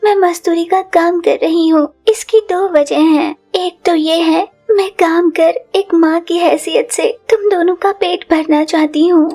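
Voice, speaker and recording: A woman's voice: very high-pitched, warm tone. A woman voicing a poor, tired mother bird in a cartoon, explaining earnestly to her daughter. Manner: patient, earnest.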